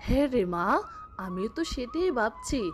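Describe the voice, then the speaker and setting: A woman voicing a gentle, worried village mother in a children's cartoon, soothing and fretful. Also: a little high, big pitch swings, unhurried, over music.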